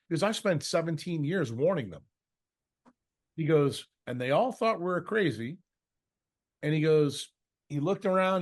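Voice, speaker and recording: A man lecturing off the cuff in answer to a question, blunt and confrontational, swearing freely and scolding with rhetorical questions. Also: a little high. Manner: uses mocking imitations and rhetorical questions. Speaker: speaker leading a question session.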